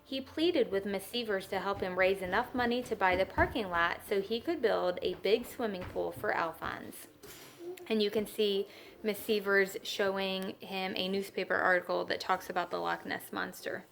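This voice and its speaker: A woman's voice: mid-range voice, moderate pace, vocal fry, a bit bright, slight background noise. A woman teacher reading a children's story aloud and adding simple explanatory asides.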